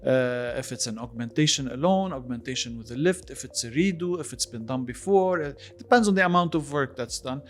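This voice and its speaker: A man's voice: mid-range voice, expressive, brisk, hardly any pauses. Man explaining over faint music. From Lebanon. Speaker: interview guest, plastic surgeon. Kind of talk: conversational podcast interview.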